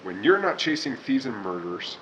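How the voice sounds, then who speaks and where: A man playing a gruff, reluctant hero in a radio drama, with wisecracking tough-guy talk. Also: mid-range voice, very animated, fairly quick, noisy background, lightly acted. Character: gruff reluctant hero, a baker and war veteran. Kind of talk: 1940s radio drama acting.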